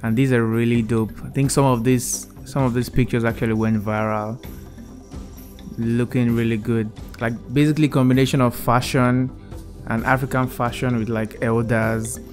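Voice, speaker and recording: A man's voice: mid-range voice, normal speed. A young man hosting his own channel, giving a casual, enthusiastic walkthrough of a VR art gallery he built, recorded on earbuds indoors. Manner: relaxed, enthusiastic, repeats "really cool". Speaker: YouTube creator. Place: indoor room, wearing VR headset. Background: soft background music.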